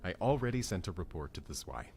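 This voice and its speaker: A man voicing an apologetic, weary boss of a peacekeeping office, reading a game script in a measured way. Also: low-pitched, big pitch swings, darkish tone, faint music behind. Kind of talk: amateur game script read-along.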